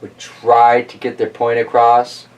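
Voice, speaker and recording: A man reading a storybook aloud in an expressive, informal and chatty way. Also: mid-range voice, measured pace, far from the mic. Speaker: storybook reader and host. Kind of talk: storybook narration with casual asides.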